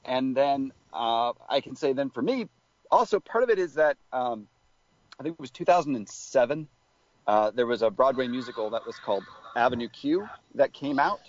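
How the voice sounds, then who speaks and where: A man's voice: medium pitch, animated, normal speed, highs cut off. A man answering as a podcast guest, reflective and hesitant, with many fillers as he gathers his thoughts.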